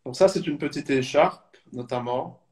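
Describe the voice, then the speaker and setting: A man's voice: mid-range voice, normal speed, darkish tone. Hesitant Belgian-raised man in his thirties. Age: 30s. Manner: hesitant, many fillers and restarts. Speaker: supporters club guest. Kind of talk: casual video call interview.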